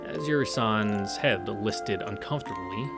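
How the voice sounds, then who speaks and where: A man's voice: on the low side, lively intonation, measured pace. A man reading a visual novel aloud in a casual way, dropping sarcastic, sweary asides. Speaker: let's play host reading aloud. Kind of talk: casual read-through narration with wry asides. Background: game music.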